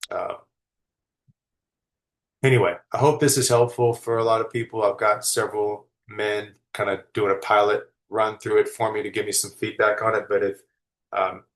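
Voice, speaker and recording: A man leading a wellness group call, explaining course material informally in a halting, reflective and encouraging way. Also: on the low side, a bit bright.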